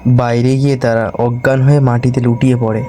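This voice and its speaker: A man who narrates a cartoon horror story for his channel, telling it slowly and deliberately. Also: mid-range voice, flat delivery, over music.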